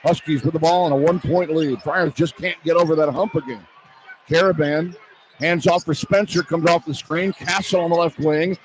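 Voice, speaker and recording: A man with a high-pitched voice calls a basketball game for radio. His phrases are short and running, with an excitable edge. Loud arena noise sits behind him the whole time. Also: moderate pace, low-quality recording. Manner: clipped running phrases, excitable, bursts into shouts. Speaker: play-by-play radio announcer. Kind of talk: live basketball play-by-play commentary. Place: courtside in an indoor arena. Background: loud game ambience.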